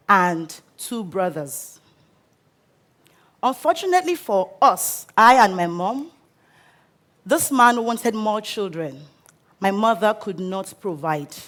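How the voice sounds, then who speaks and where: Slow, earnest Nigerian woman storyteller. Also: mid-range voice, animated, fairly bright tone. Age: 30s. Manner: earnest, measured storytelling with pauses. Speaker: speaker. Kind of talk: personal story talk on stage. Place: stage before a live audience.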